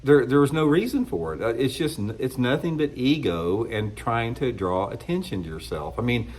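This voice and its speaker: A man's voice: mid-range voice, animated, moderate pace, a little mellow. A middle-aged man, congested from a cold, giving a blunt, rambling opinion monologue on his fishing channel. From United States. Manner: rambling, blunt, restarts often. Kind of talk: casual opinion monologue to camera.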